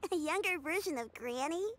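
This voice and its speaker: A woman's voice: extremely high pitch, lively intonation, unhurried, a little hiss or hum. A woman voicing a cheerful, brave young girl who cares for her grandmother, upbeat and reassuring. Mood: earnest.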